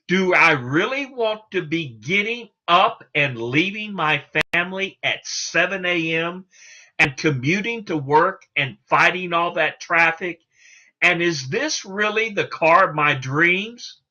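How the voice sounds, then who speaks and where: A man's voice: high-pitched, bright, forceful tone. A man giving an instructional talk as a sales coach, emphatic and salesman-like, putting rhetorical questions to the listener. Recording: dull, lo-fi sound.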